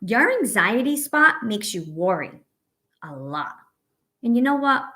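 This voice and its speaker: A woman reading a picture book aloud to young children as a teacher, pausing to ask questions and offer encouragement and reassurance. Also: a little high, very animated, sharp tone, a little hiss or hum. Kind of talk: read-aloud story with asides to young children.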